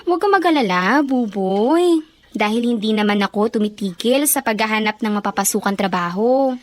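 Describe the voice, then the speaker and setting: Actress as high-voiced, earnest young elder sister. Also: female voice, lively intonation, moderate pace, close to an everyday voice. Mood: earnest, reassuring. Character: dutiful, worried elder sister from a poor family. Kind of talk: Filipino radio drama acting.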